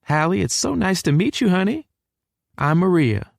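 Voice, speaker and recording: A man voicing a warm, welcoming middle-aged Texan mother with a Southern US accent, in an audiobook. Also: high-pitched, sing-song, normal speed, gravelly, no room sound, clean studio sound, pitched above the performer's own voice, heavily acted.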